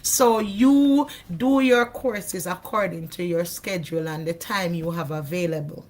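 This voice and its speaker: A woman with a Jamaican accent talks in a hoarse voice on a video call. She is chatty and keeps checking in with the listener as she answers questions. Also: lowish voice, unhurried, a little mellow. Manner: chatty, repeats "you know what I mean". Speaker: interview guest. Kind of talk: casual interview chat.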